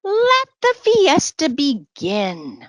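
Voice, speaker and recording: A woman reading the part of a kindly father in a children's storybook, solemn, deliberate and moralizing. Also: high-pitched, wildly swooping pitch, no room sound, pitched above the performer's own voice, heavily acted. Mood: instructive, encouraging.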